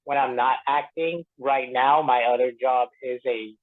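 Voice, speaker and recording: A man's voice: mid-range voice, fairly even intonation, measured pace. Man on a tinny phone line. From Tampa Bay, Florida, United States; born in Puerto Rico. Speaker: actor being interviewed. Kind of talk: casual interview answers.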